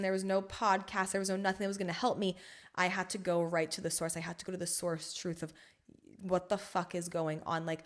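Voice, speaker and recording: A young adult woman hosting her own podcast, talking in a frank, rambling way full of swearing and filler words, with emphasis that swings between loud and soft. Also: on the low side. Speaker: podcast host. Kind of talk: casual solo podcast monologue.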